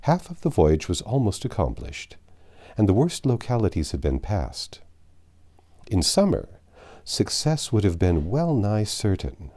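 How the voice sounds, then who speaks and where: A man reading a classic adventure novel aloud as an expressive narrator. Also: fairly low pitch, unhurried. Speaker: audiobook narrator.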